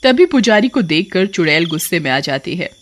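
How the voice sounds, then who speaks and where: A woman's voice: on the low side, big pitch swings, moderate pace, slight background noise. A woman reading scripted story narration as the narrator.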